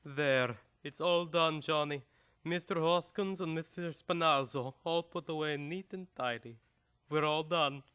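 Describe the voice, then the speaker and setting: A man's voice: fairly high pitch, a little slow, bright, forceful tone, clear, resonant tone. A man playing a nervy, wheedling doctor who is a crook's sidekick, in a live old-time radio play. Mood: pleading.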